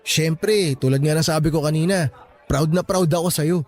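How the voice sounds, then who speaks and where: A man acting an encouraging, teasing young college graduate with an earnest, animated delivery in a radio drama. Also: fairly high pitch, moderate pace, close to an everyday voice. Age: young adult.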